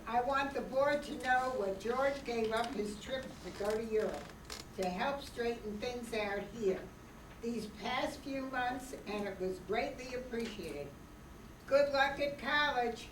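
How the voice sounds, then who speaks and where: An earnest small-town board member, played by an older woman in a radio play. The voice is high, slow and formal, heard in a noisy, echoey room. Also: natural voice. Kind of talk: amateur radio play acting. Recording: echoey room, distant mic, clear background noise.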